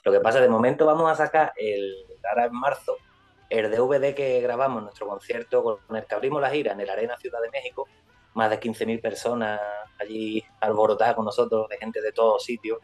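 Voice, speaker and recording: A man's voice: medium pitch, steady tone, a little fast, faint music behind. Man rambling on a video call. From Spain. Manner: rambling, restarts, tag questions. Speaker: guest musician. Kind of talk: casual video call interview. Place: indoor room. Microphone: webcam on video call.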